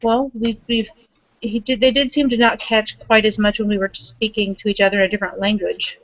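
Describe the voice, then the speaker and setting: A woman's voice: mid-range voice, flat delivery, a little fast, no room sound. A woman playing in a tabletop roleplay, chatting casually in character, on dull, lo-fi audio.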